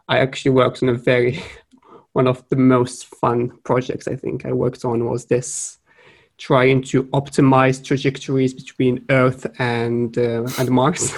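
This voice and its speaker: A young man from Morocco, talking as a podcast guest in an unscripted way, pausing to search for words. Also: medium pitch. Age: young adult. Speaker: podcast guest, trading graduate. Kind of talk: casual podcast interview.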